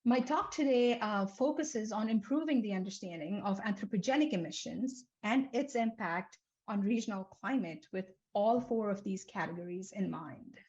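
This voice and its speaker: A young woman from Nepal presents a research seminar in a steady, middle-pitched voice. She moves through her points one by one and drops in small fillers along the way. The recording sounds a little dull and lo-fi. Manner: measured, list-like delivery with frequent um and uh fillers. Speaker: seminar speaker, climate researcher. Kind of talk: academic seminar talk. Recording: slightly dull lo-fi lecture audio.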